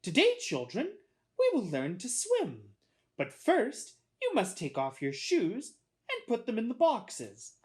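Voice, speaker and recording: Woman as a strict, high-voiced schoolteacher. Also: huge pitch swings, slow pace, exaggerated voice. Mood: instructive. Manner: commanding, emphatic.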